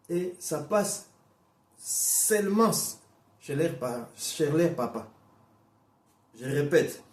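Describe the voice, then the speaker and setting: A man preaching a sermon in as a Portuguese first-language speaker, emphatic, repeating and restarting phrases, swinging between slow stressed words and quick bursts. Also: on the high side, mellow, roomy sound. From Portuguese-speaking Africa.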